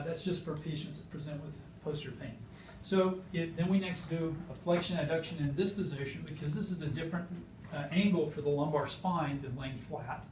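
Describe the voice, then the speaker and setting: A man giving a clinical teaching demonstration as a physician, explaining technically in a halting way. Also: a little high, a little mellow. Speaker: physician demonstrating hip exam. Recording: roomy, distant mic, noisy background.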